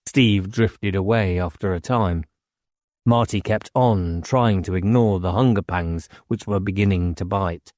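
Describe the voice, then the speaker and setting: A man's voice: sits low, measured pace, darkish tone, no room sound, studio quality. A man reading a novel aloud as scripted audiobook narration.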